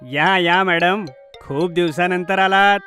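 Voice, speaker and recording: A man's voice: sits high, steady tone, slow, pushed and bright, heavily acted. Man as friendly cartoon shopkeeper, polite, obliging, salesman-like, faint music. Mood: welcoming. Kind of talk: children's cartoon dubbing.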